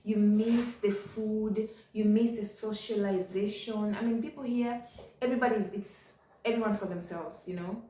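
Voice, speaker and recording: A woman's voice: medium pitch, fairly even intonation, moderate pace, dark tone, roomy sound, distant mic, slight background noise, low-quality recording. A middle-aged woman, talking candidly in a casual vlog and often repeating "you know". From Kenya. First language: Ugandan. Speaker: vlogger. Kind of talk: casual reflective monologue.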